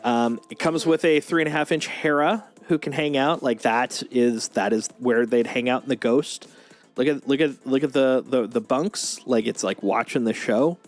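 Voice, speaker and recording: A man's voice: mid-range voice, moderate pace. A man chatting casually as a livestream host, showing things off in an enthusiastic, geeky, rambling way. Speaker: streamer, host. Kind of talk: casual livestream chat, showing off collectible toys. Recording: music playing behind the voice. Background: music.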